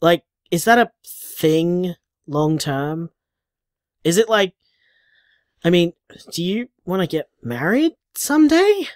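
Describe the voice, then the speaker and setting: An actor playing a dramatic, anxious, sardonic boyfriend in a conversational audio drama. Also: male voice, sits high, very animated, slow pace, no echo, clean studio sound, exaggerated voice. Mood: anxious, rambling. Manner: exasperated, hesitant, sardonic. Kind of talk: audio drama voicemail acting, naturalistic and conversational.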